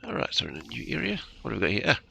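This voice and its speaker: A man's voice: mid-range voice, wildly swooping pitch, rapid-fire, vocal fry, pushed and bright, a little hiss or hum. A man giving laid-back, casual commentary on a video game as he plays. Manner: laid-back, ends remarks with "eh". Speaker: gamer commentating on own play.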